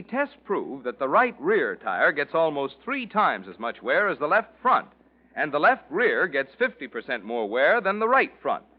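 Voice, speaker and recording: A polished male announcer gives an emphatic 1940s radio sales pitch. His fairly high voice rises and falls in a very animated way, on a dull vintage recording with some music.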